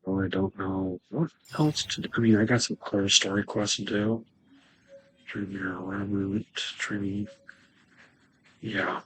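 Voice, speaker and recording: A man's voice: on the low side, steady tone, a little slow, slight background noise, low-quality recording. A man streaming a game, casually thinking aloud and muttering to himself as he plays. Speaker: gaming streamer. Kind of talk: casual play-along muttering.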